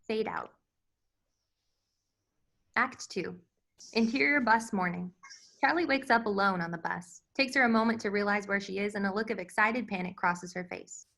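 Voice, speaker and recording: A woman narrates a screenplay, reading out the stage directions as scripted narration. Her voice sits at a middle pitch. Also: normal speed, creaky, pauses often, bright, forceful tone. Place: virtual table read over video call.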